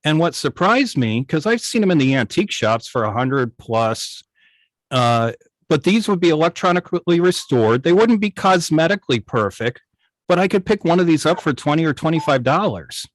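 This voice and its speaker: A middle-aged man casually reminiscing as a guest on a video call, speaking in a halting, thoughtful way. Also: on the high side, sing-song, no room sound, poor audio. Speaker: guest, radio hobbyist. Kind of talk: casual reminiscing in an online club interview.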